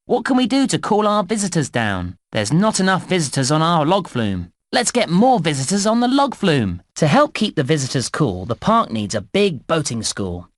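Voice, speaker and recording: A man's voice: a little high, very animated, normal speed, few pauses, no room sound. A man voicing a chirpy, encouraging theme park guide in a children's computer game, with bouncy, exaggerated scripted delivery. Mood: cheerful, encouraging. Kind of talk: scripted game tutorial narration.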